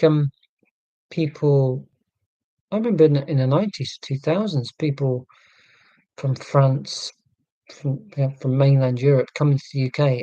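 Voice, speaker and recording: A middle-aged man with a neutral southern English accent, talking slowly and deliberately in a casual, reflective monologue. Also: on the high side, dark tone, dry recording, dull audio. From Scotland, raised in England. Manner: slow, deliberate speech with pauses. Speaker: vlogger.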